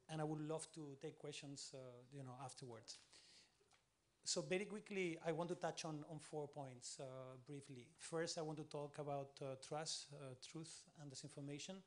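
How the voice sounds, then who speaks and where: A man with a Spanish accent presents at a conference, his voice sitting a little high. He speaks briskly and with energy, dotting his talk with "uh". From Spain. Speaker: panel speaker, tech specialist.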